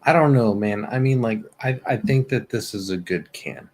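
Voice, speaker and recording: A man's voice: lowish voice, moderate pace, a little mellow. A man co-hosting a casual podcast, laid-back and a bit hesitant as he reads out specs. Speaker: podcast co-host. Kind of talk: casual chat on a live stream.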